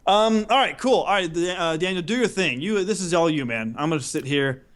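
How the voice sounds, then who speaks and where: A man's voice: fairly high pitch, lively intonation, brisk. A man co-hosting a podcast in casual, jokey chat, with a goofy, exaggerating way of talking. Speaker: podcast co-host.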